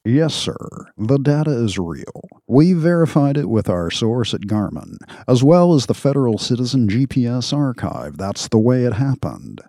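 A man's voice: medium pitch, sing-song, normal speed, few pauses, mellow, no room sound, voice pushed up. A man voicing Ken, a deferential male officer with a thin, dry voice.

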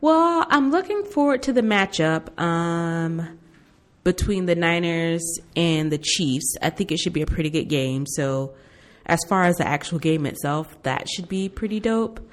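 A woman's voice: on the low side, lively intonation, measured pace. An American woman co-hosting a podcast in casual conversation, with informal, slangy phrasing. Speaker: podcast co-host. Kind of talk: casual chat.

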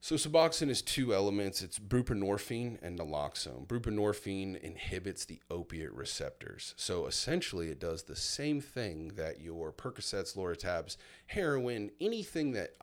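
Deep-voiced man in his thirties. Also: very animated, moderate pace, few pauses. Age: 30s. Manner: candid, reflective storytelling with many fillers. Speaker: guest sharing his testimony. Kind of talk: casual personal conversation.

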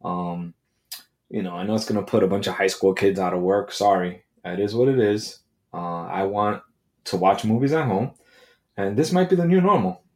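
A man with a fairly low voice rants in a loose, unscripted way. He swears constantly and mixes sarcasm with mock outrage, and his talk is full of fillers and restarts. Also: normal speed, darkish tone. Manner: crude, sarcastic, profane. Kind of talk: casual solo rant.

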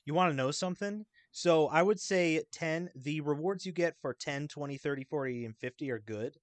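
A man's voice: fairly high pitch, moderate pace, no room sound. A man in a casual chat about a video game, eagerly explaining details at length.

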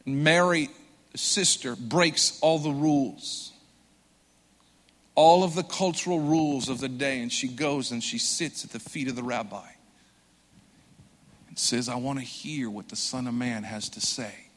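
A man preaching a sermon with earnest conviction, swelling loud for emphasis and dropping soft and slow. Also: mid-range voice. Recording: long hall reverb.

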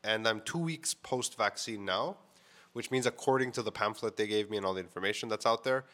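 A man with a fairly low voice chats to camera in a loose, frank vlog style, peppering his talk with fillers and the odd swear word. His voice sounds a little stuffed up and congested. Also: fairly quick, fairly bright tone. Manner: chatty, frank, swears casually, many "like" fillers. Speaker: vlogger. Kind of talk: casual storytelling to camera. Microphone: mic on camera setup.

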